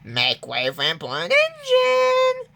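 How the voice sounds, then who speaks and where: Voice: very high voice, huge pitch swings, slow pace, pushed and bright, voice pushed up, full character voice. A reader voicing a vain, boastful male steam engine in a children's storybook, with pompous, indignant exclaiming. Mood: boastful, defiant.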